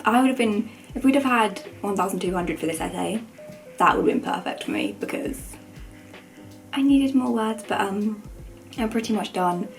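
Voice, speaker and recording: Croaky young woman chatting casually. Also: medium pitch, low-quality recording. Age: young adult. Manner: informal, self-deprecating, rambling. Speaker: student vlogger. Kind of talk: casual chat to camera.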